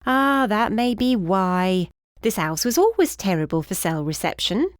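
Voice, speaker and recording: A woman's voice: mid-range voice, sing-song, measured pace, no room sound, studio quality, voice pushed up. A woman voicing a short, plump, cheerful older housekeeper with a British accent and a rambling, effusive, fussy manner in an audiobook. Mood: chatty, eager.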